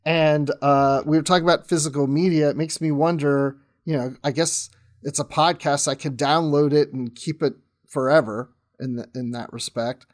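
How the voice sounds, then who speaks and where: A man's voice: fairly high pitch, fairly even intonation, moderate pace. A man chatting casually as a podcast guest, with a jokey, easygoing way of talking. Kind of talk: casual chat.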